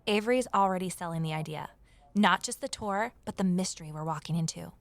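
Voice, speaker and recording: An actress playing a determined, persuasive amateur sleuth in an audio drama, earnest in both dialogue and first-person narration. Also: female voice, lowish voice, fairly quick, no echo, lightly acted. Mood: reflective.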